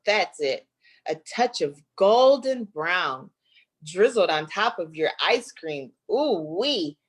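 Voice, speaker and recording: Lady reading a kids book out loud, real slow and playful, expressive storytime style. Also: mid-range voice, husky. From Flint, United States. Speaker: guest author reading her own book. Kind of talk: expressive children's picture book read-aloud.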